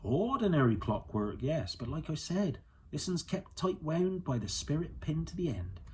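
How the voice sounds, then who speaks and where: Man voicing a gruff old country boatman, pitched up. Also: very animated, normal speed, darkish tone. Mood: matter-of-fact. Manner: rustic dialect with nonstandard grammar. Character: old boatman, wise, wary, gruff but kindly.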